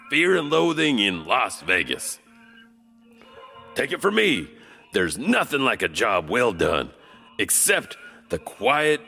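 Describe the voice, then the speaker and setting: A man's voice: lowish voice, vocal fry, pushed and bright. A man hosting a podcast, telling spooky tales in a theatrical, folksy, conversational way. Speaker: podcast host. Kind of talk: spooky storytelling narration. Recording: long reverb, music underneath. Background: music.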